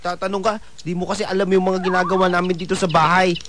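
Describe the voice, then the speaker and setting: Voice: medium pitch, flat delivery, quick pace, background noise, close to an everyday voice. An actor playing a sulky, defiant teenage son in a radio drama, with a whiny, petulant delivery. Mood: sulky, dismissive.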